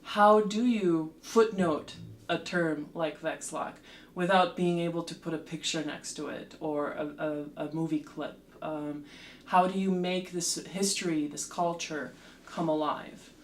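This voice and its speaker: Woman, unhurried delivery, mid-pitched, faint hum behind, thoughtful interview guest. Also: fairly even intonation. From Slovakia. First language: Slovak. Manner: measured, with frequent ums and restarts. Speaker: translator and lecturer, guest. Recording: roomy echo with faint background hum.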